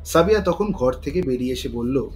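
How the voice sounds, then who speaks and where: A man's voice: mid-range voice, lively intonation, normal speed. A man narrating a scripted thriller story in a dramatic storytelling style. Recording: faint noise and ambience behind voice.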